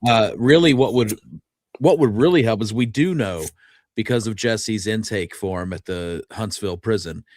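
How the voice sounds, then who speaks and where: Low-voiced man hosting, dry close sound. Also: normal speed, no echo. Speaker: show host. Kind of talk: casual livestream chat.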